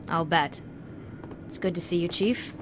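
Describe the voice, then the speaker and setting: Lowish-voiced female military commander. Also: slow, background noise. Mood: pleased. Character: composed female military commander, warmly authoritative.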